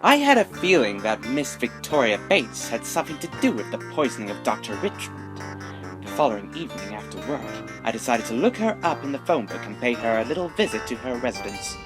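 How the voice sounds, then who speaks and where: A man playing a self-important amateur sleuth, dramatic and excitable in old-time radio mystery style. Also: medium pitch, fairly quick, over music, close to an everyday voice, poor audio. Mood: brooding narration.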